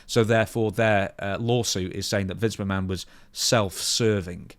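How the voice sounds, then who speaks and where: A man with a fairly low voice co-hosts a podcast. He switches between scripted news reading and informal discussion, asking questions as he goes. The sound is dry, with hardly any room to it. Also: fairly even intonation, moderate pace, no echo. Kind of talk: news reading mixed with casual chat.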